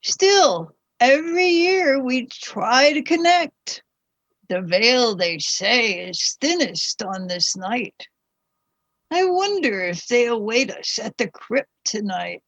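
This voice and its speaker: A woman's voice: a little high, animated, slow, a bit bright, no echo, full character voice. A woman playing a fond, wistful mother of times past, longing for the night, in a radio play with slightly muffled sound. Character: moon-bewitched old-time mother, longing, fond and wistful. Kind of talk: period radio play acting.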